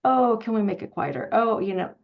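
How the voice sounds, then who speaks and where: A woman's voice: medium pitch, expressive, a little fast, muffled recording. A woman hosting a panel chat, effusive and enthusiastic, feeling her way through her phrasing. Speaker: panel host.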